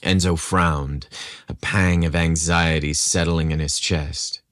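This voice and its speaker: A man narrating a scripted romantic story as a podcast host, in a measured, deliberate storytelling manner. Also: a bass voice, fairly even intonation, a bit bright, dry recording.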